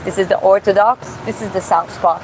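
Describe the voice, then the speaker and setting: A woman from Georgia who streams and boxes, reading viewer comments aloud in a live Q&A and answering casually, often pausing and restarting to find her words. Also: mid-range voice, poor audio. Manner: casual, halting, searches for words with many restarts. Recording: steady background hum.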